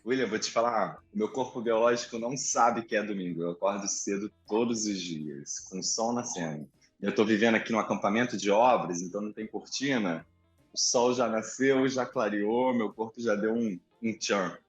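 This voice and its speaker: A man's voice: on the low side, moderate pace, faint music behind. A man in his thirties from Rio de Janeiro with a Carioca accent, chatting reflectively as an interview guest over a video call. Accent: Carioca Brazilian Portuguese, slightly softened. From Rio de Janeiro, Brazil. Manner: chatty, reflective, animated asides. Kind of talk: relaxed conversational storytelling. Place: apartment indoors. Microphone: headphones with mic on a video call.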